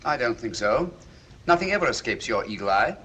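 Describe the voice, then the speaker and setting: An actor playing a cool, courteous British gentleman detective, speaking with dry, precise irony in 1940s film style. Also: mid-range voice, big pitch swings, fairly quick, poor audio. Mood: dryly ironic. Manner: dry, precise, politely ironic. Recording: hissy 1940s film soundtrack.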